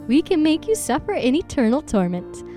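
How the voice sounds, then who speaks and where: Woman as high, swooping, sinister ad announcer. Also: very animated, moderate pace, mellow, faint music behind. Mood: ominous pitch. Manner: theatrical, ominous sales pitch. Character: female sponsor announcer, sinister and sales-bright. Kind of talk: parody radio advert.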